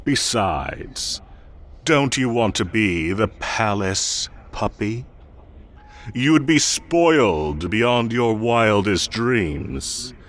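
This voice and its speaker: A man's voice: on the low side, animated, a bit bright, exaggerated voice. A man playing a smug, possessive and menacing fae king in roleplay audio, theatrical and condescending as he speaks directly to the listener. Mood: teasing, seductive. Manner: theatrical, condescending, slow deliberate coaxing.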